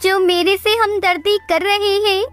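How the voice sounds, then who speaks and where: A woman's voice: very high-pitched, fairly even intonation, unhurried. A woman voicing a meek, poor little female bird in a children's cartoon, speaking in a plaintive, earnest way. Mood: sorrowful, helpless. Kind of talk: Hindi children's cartoon dubbing.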